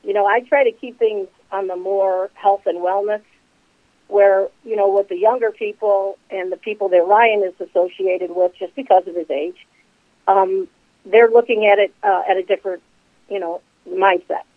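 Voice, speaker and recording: A woman's voice: medium pitch, fairly even intonation, normal speed, no echo. A woman in her sixties speaking as a company co-founder, talking earnestly in a rambling way with many fillers. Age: 60s. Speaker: CBD company co-founder guest. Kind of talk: casual interview talk. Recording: dry tinny phone line.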